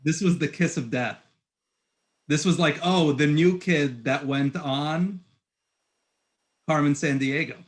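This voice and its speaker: A young man with a fairly high voice hosts a casual podcast chat. He tells stories in an animated, loose way, doubling back with restarts and fillers as he goes. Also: a little slow, long pauses. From born in Egypt, raised in Puerto Rico, Queens and Long Island. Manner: animated storytelling with frequent restarts and "like". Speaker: podcast host. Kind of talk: casual conversation.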